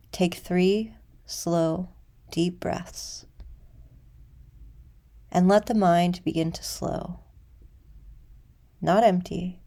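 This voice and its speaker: A woman teaching a guided meditation in a measured, deliberate way, leaving pauses between phrases. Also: lowish voice, creaky, warm tone.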